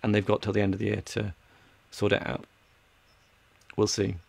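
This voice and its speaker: A man's voice: lowish voice, completely flat delivery, normal speed, gravelly, slightly rough, no room sound. British man, dry close-miked podcast host. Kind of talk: casual tech podcast chat, scripted sponsor read. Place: small home studio room.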